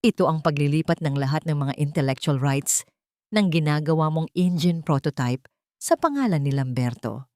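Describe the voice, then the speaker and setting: A woman acts out a wealthy older matriarch from a dramatic audio story. She speaks in a fairly low, dry voice, blaming and belittling with icy contempt, imperious in every demand. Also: expressive, normal speed, darkish tone, no room sound. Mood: cold, demanding. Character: cold, contemptuous rich older mother-in-law.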